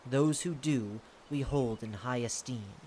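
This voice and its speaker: A reader acts out a fae lord of the hunt in an audio drama. The delivery is formal and stately, commanding yet courteous, in a middle-pitched voice. Clear noise sits behind it. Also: slow, warm tone, lightly acted. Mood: grave, courteous. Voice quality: deep.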